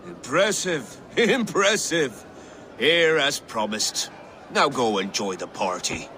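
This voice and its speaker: Jovial, lively-toned Viking drinker. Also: male voice, mid-range voice, slow, noisy background. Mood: amused approval. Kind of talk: video game cutscene acting. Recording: music playing behind the voice.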